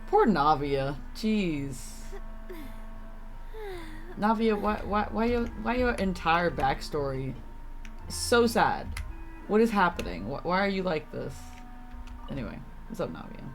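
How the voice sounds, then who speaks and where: A woman's voice: lowish voice, very animated, measured pace, long pauses, soft background music. A woman streaming a video game, giving casual, slangy commentary in short reactive remarks.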